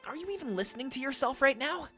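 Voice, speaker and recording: A woman's voice: high-pitched, lively intonation, fast, a bit bright. An actress playing an outspoken, incredulous young female companion in a visual novel. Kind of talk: animated visual novel acting. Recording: background music under the voice.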